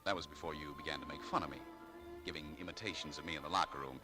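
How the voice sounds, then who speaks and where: An actor playing a proud, needling, easily stung male shop clerk in a 1940s radio drama, wry and quick to indignation. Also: very deep voice. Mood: indignant. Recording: vintage 1940s radio broadcast, music behind.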